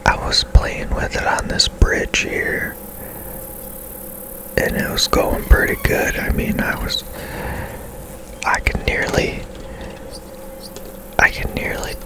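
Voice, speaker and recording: A game host giving ASMR gameplay commentary in a soft, breathy whisper, gentle and unhurried with pauses. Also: over music.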